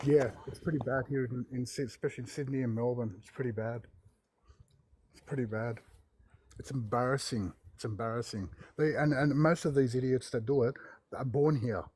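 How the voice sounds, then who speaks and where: Husky, emphatic Lebanese-born man. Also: medium pitch, fairly even intonation, moderate pace, darkish tone, no room sound. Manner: emphatic, repeats himself. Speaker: caller in a live debate stream. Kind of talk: heated casual debate.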